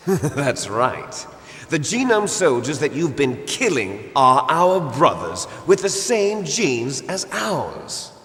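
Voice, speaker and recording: Theatrical, menacing game villain, wildly swooping. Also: male voice, a little high, sing-song, slow, a bit bright, exaggerated voice. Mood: grim, revelatory. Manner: dramatic, declamatory, menacing, taunting. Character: theatrical male villain, fanatical rebel leader obsessed with genes. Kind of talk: dramatic video game voice acting. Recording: long hall reverb. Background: game music.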